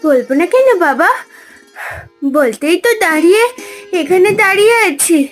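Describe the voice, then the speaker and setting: Super high little village girl for a cartoon, stubborn n whiny, music clearly behind. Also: expressive, normal speed. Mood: pleading, sulky. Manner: whiny, insistent, childlike pleading. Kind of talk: cartoon story voice acting.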